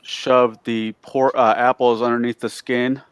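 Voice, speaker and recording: A man chatting loudly and boisterously during a tabletop game livestream. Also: mid-range voice, little pitch movement, unhurried, smooth. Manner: loud, boisterous talker. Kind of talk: casual chat on a livestream.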